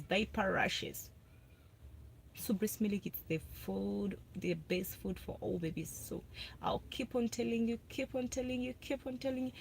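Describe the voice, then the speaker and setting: A woman's voice: medium pitch, a little hiss or hum, rough recording. A young Ugandan woman hosting a casual live video about breastfeeding. She talks to her viewers, answers their comments and repeats herself a lot for emphasis. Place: parked car.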